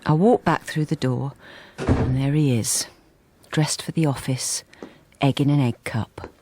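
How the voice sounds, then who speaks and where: An actress playing a timid, wistful middle-aged wife in a radio drama, speaking in a hesitant, confiding way. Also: female voice, very deep voice, steady tone, unhurried, warm tone, slight background noise. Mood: resigned. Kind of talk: radio drama acting with first-person narration.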